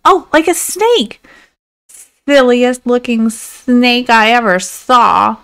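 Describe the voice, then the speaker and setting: A woman voicing silly, excitable animals with a gushing, easily confused manner in a children's storybook. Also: a little high, slow pace, clear, resonant tone.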